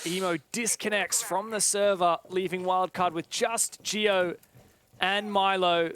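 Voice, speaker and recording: A man's voice: high voice, fairly even intonation, a little slow, fairly bright tone, a little hiss or hum. A man commentating live on an esports match, with dramatic, wordy phrasing. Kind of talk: live play-by-play game commentary.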